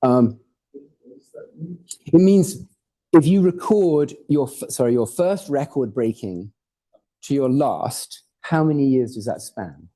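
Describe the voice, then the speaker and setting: A man's voice: mid-range voice, lively intonation, slow pace, long pauses, warm tone, low-quality recording. A man from Hawaii, raised in Portugal and England, giving an informal, digressive academic lecture with jokes and the odd swear word. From born in Hawaii, raised in Portugal and England. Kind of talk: academic talk with slides. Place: lecture hall.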